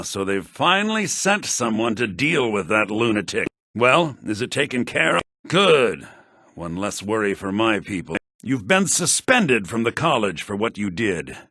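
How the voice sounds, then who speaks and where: A man's voice: mid-range voice, husky, no room sound, clean studio sound, exaggerated voice. An actor playing a shifty, irritable townsman and dealer in a fantasy video game, with a sardonic, easily exasperated manner. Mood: dry, weary. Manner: expressive, sardonic, quick to exasperation. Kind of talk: video game character voice lines.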